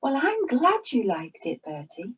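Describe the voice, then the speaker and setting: A woman's voice: a little high, huge pitch swings, measured pace, breathy edge, lo-fi sound, pitched above the performer's own voice, exaggerated voice. A woman voicing a kind, eager young boy in a children's storybook, in an eager, helpful voice.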